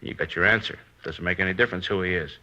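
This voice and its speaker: Sharp, surly crook. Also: male voice, low-pitched, quick pace. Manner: grudging, wary. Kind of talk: old-time radio crime drama acting. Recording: dull vintage radio recording.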